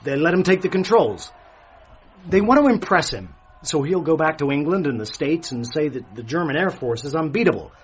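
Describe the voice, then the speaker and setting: Radio thriller role: insistent reporter, earnest yet sardonic, fairly high and animated, slightly muffled sound. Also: male voice, a little fast, slightly rough. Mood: urgent. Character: urgent, wry male newspaper reporter. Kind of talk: radio drama dialogue. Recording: dull, faintly noisy radio recording.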